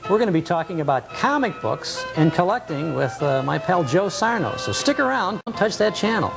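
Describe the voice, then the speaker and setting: A man's voice: sits high, big pitch swings, moderate pace, vocal fry, over loud music, poor audio. A middle-aged man hosting a show in a folksy, conversational, genial way. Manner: genial, appreciative. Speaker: show host.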